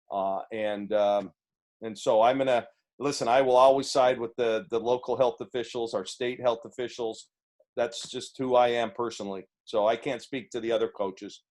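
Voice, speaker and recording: A man with a booming voice answering press questions as a head coach, earnest and halting with restarts, on a video call. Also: a little mellow.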